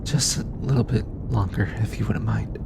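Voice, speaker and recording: A man playing a gentle, shy, affectionate alien scientist in an intimate roleplay audio, speaking tenderly and hesitantly in formal, earnest phrases. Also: on the low side, steady tone, moderate pace, creaky, very noisy. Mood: vulnerable, affectionate.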